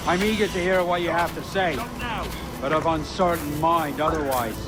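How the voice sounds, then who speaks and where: Man acting a stern, high-pitched pirate captain. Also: fairly even intonation, moderate pace, loud music behind the voice, lightly acted. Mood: guarded. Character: proud, disapproving middle-aged male pirate captain. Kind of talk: video game cutscene acting.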